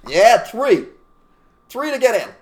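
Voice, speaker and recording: A man's voice: very high voice, sing-song, slow, low-quality recording. A man co-hosting a casual talk show, ranting emphatically and mimicking people in put-on voices. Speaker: podcast co-host. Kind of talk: opinionated casual chat.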